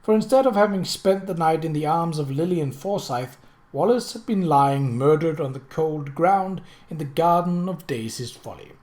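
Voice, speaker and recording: Expressive mid-pitched audiobook narrator. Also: moderate pace. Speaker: audiobook reader. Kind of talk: scripted narration of a period mystery novel.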